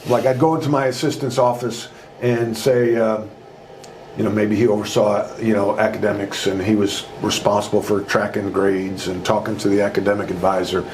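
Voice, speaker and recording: A man in his sixties, a former basketball coach and author, telling leadership stories in a loud, emphatic way as a podcast guest. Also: fairly low pitch, moderate pace, a little hiss or hum, rough recording. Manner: loud, emphatic, animated storyteller. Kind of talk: conversational storytelling interview.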